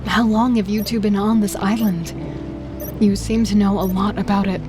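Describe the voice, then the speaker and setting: A woman's voice: mid-range voice, fairly even intonation, moderate pace, music in the background, natural voice. An actress playing a direct, practical woman taking charge on a prison island, in an audio drama. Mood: curious. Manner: direct, questioning. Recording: noisy background.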